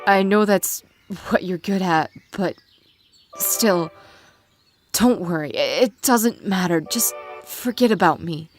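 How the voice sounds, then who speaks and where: A woman voicing a dying female warrior monster in a dramatic reading, proud and loyal, with a shaky, breathy, halting delivery. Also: fairly low pitch, faint music behind. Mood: weak, tender. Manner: halting, strained, gasping delivery. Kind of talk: dramatic fan-fiction reading.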